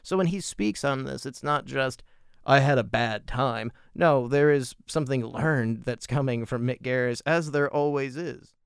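A man hosting a podcast, giving casual solo film commentary with emphatic, drawn-out phrasing and a gravelly voice. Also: medium pitch, no room sound, studio quality. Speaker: podcast host.

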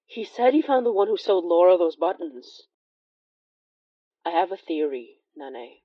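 A woman's voice: fairly low pitch, very animated, a little slow, dry recording, dull audio. An actress playing a young Filipino immigrant woman who recounts horrors in a conversational, hesitant, wry way for an audio drama. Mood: uneasy, resolute. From Philippines. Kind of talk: audio drama first-person confessional narration.

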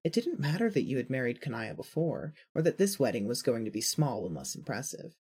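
A man's voice: medium pitch, sing-song, a little fast, darkish tone, husky. A man reading scripted fan fiction narration as the storyteller.

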